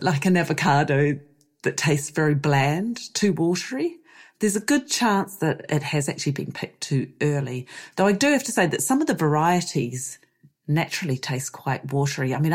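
A woman hosting a podcast, hoarse from losing her voice, explaining things in a lively, chatty way. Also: sits low, normal speed. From New Zealand. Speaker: podcast host. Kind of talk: solo podcast chat.

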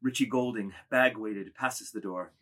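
A man narrating a literary drama, reading scripted stage directions in a deliberate, dramatic way. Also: lowish voice, a bit bright.

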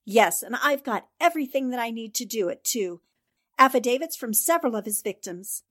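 Woman playing a high-voiced 1940s radio newspaperwoman. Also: lively intonation, brisk, a bit bright, dry recording, studio quality. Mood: determined. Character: determined, exasperated woman newspaper reporter.

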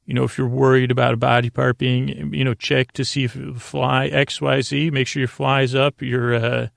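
A man with a heavily gravelly, creaky voice delivers an improvised bedtime podcast monologue. His pitch stays fairly even as he meanders, stumbling and repeating words. The recording is dry and close. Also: no room sound. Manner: deliberately slowed-down delivery. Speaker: podcast host.

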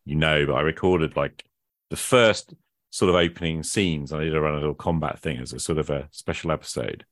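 A man's voice: extremely low pitch, a little fast, fairly bright tone, dry recording. A man speaking as a podcast host in casual, reflective conversation, thinking aloud and often qualifying himself.

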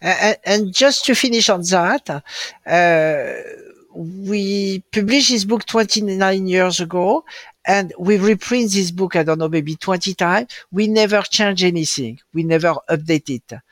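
A middle-aged man with a French accent talks as an interview guest. His voice sits high for a man and is recorded dry. He builds his story in short, emphatic phrases. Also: measured pace, fairly bright tone, no room sound. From Morocco. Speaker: guest, publishing house co-founder. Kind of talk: conversational storytelling.

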